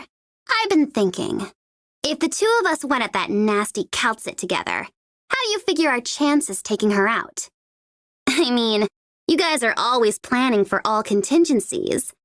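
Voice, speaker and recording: A woman's voice: on the high side, fairly bright tone, dry recording, clean studio sound. A woman voicing a young female mercenary bomber in a video game, gleeful and taunting, with a playful, sardonic, theatrical delivery. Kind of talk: video game character voice lines.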